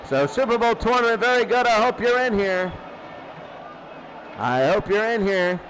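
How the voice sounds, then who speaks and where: A man doing excitable live football play-by-play in a radio style, with conversational asides between plays. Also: high-pitched, moderate pace, a little hiss or hum, rough recording. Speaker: play-by-play commentator of a simulated football tournament.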